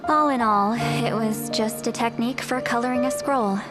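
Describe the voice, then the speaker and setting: A woman playing a guarded, grumbling but soft-hearted female shaman in an anime-style game, dry and understated. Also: a little high, moderate pace, natural voice. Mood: quietly moved. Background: faint music.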